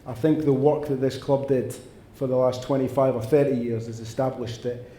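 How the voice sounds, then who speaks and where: A Scottish man speaks on a panel at a fans' event, earnest and long-winded. His voice is dark and mellow and keeps to a narrow pitch range. The room is echoey, with a faint noise behind him. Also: little pitch movement, moderate pace. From Scotland. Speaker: football director on a panel. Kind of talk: panel answer at a fans' forum.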